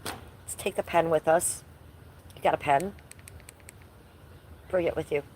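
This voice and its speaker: A woman's voice: sits low, fairly even intonation, unhurried, a little mellow, slight background noise. A woman from Ohio chatting casually on a livestream, stuffy and nasal from allergies, swearing freely as she walks through her backyard. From Ohio, USA. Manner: chatty, profane, reacts to chat. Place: home and backyard, outdoors.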